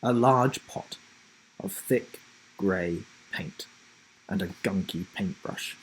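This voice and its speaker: A man reading a children's story aloud in a dramatic, deliberate narrating style. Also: low-pitched, slight background noise, poor audio. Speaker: storybook reader, host.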